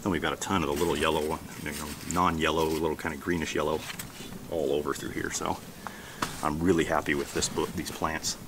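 American male homesteading vlogger, middle-aged, extremely low voice, casual and rambling, noisy outdoor camera audio. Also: little pitch movement, a little fast. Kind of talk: casual garden tour vlog. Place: outdoors in a backyard garden. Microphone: camera held at arm's length. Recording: outdoor handheld recording with background noise.

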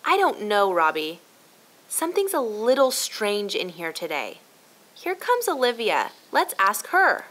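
A woman's voice: mid-range voice, huge pitch swings, unhurried, fairly bright tone, exaggerated voice. A woman voicing an eager, helpful young girl in a children's storybook, enthusiastic and chirpy. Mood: curious.

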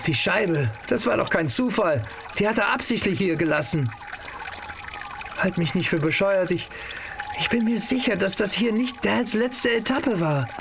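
A young actor as an excited, earnest teenage boy in an adventure audio drama. His voice is high with a creaky edge, and water trickles clearly behind him. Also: moderate pace, background noise. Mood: earnest, moved.

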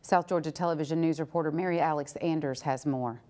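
A woman news anchor in middle age with a low-pitched voice. She reads scripted stories in a TV studio in a formal newsreading style. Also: steady tone, quick pace, dark tone.

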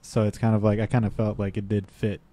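Male podcast guest, low voice, dry close sound, animated storyteller who acts out quotes, small studio desk mic. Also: fast, no room sound. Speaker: band guitarist guest. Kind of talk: casual podcast chat. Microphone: desk mic with headphones.

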